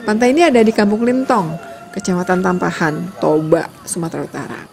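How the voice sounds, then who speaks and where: A woman's voice: fairly low pitch, brisk, creaky. A woman with an Indonesian accent narrating a road trip vlog in a chatty, casual voice-over. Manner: chatty, lists costs, trails off with fillers. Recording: voice-over with loud background music.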